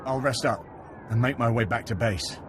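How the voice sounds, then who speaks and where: An actor voicing an earnest, deferential Imperial spy in a video game, urgent in manner. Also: male voice, mid-range voice, moderate pace, background noise, close to an everyday voice. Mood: dutiful.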